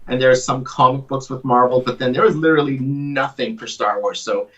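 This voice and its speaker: A man's voice: medium pitch, fairly even intonation, a little fast, fairly bright tone. A man, a comic creator chatting as a guest in a jokey, rambling way. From Canada (Vancouver). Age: 40s. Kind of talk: casual interview chat. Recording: dull distant remote audio.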